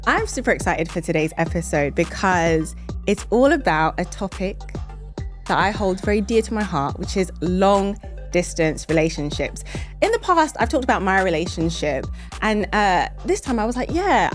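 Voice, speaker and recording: A woman's voice: mid-range voice, expressive, normal speed, soft background music. A woman in her thirties hosting a podcast in a casual, chatty way, effusive and full of compliments. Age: 30s. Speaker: podcast host. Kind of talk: casual chat.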